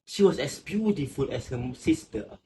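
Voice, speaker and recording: A man acting a solemn stranger in a student stage play, recounting things deliberately in short phrases. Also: on the high side, lively intonation, mellow. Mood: earnest. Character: solemn male stranger who found a drowned woman. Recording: noisy amateur recording with ambient sound.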